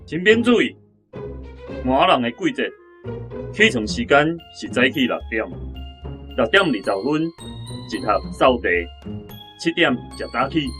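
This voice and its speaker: An actor playing a curt, commanding army officer instructing recruits in a radio drama, with a Taiwanese accent. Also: male voice, medium pitch, lively intonation, measured pace, pauses often, over music, natural voice.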